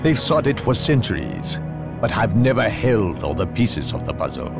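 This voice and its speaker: Game inquisitor: a haughty, zealous evil-hunter, theatrical and expressive, measured gravity. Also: male voice, fairly low pitch, loud music behind the voice. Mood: grave, ominous. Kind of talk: dramatic video game cutscene acting.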